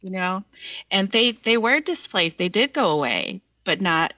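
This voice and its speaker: A woman's voice: mid-range voice, expressive, measured pace, bright, forceful tone, no echo, highs cut off. A Vietnamese American woman poet speaking thoughtfully and reflectively as a guest. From Vietnamese American, from the United States. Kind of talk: reflective conversation and poetry reading.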